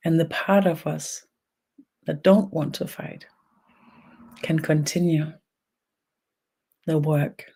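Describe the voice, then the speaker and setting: A speaker from Denmark with a Danish accent and a gravelly creak leads a guided energy meditation online, speaking haltingly in short phrases with long pauses. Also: medium pitch, fairly even intonation, warm tone. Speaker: spiritual energy healer leading an online session. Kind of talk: slow guided meditation and channeled talk.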